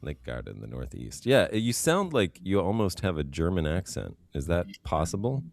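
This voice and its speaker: A man hosting a podcast, reading a measured, reflective introduction and then interviewing his guest. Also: low-pitched, very animated, gravelly, no room sound. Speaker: podcast host.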